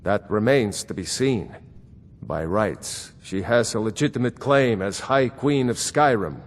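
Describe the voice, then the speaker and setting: A man voicing a stern, loyal steward of a fantasy court in a video game, speaking formally and with measured admonishment. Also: on the low side, animated. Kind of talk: scripted game dialogue. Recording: long hall reverb.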